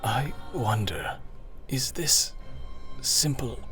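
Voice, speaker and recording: Slow, haughty elven archmage. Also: male voice, medium pitch, expressive, pushed and bright, soft background music, exaggerated voice. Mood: pained, sardonic. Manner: halting, deliberate. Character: male blood elf archmage, weakened, haughty and sardonic. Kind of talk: dramatic audio drama acting.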